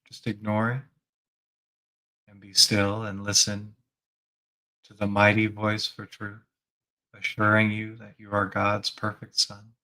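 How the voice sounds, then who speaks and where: A man's voice: lowish voice, completely flat delivery, a little slow, long pauses, low-quality recording. Man guiding a spiritual meditation. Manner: gentle prompting with rhetorical questions. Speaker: spiritual teacher leading a guided meditation.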